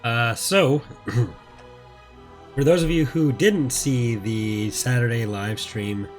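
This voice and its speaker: A man giving casual, rambling, low-key gameplay commentary, his voice still recovering after being mostly lost. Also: drawn-out words, soft background music. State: recovering from voice loss. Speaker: host of a gaming playthrough series.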